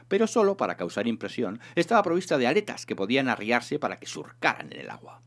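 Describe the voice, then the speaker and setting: Guy reading a funny sci-fi audiobook, lowish voice, super animated and dramatic. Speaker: audiobook reader, narrator. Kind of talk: scripted narration of a comic science fiction novel.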